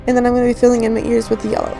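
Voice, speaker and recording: A woman with a raspy, slightly hoarse just-woken voice, giving a casual, rambling voice-over tutorial as host. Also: fairly high pitch, level tone, rapid-fire, warm tone. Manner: rambling, informal. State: just woke up, morning hoarseness. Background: background music.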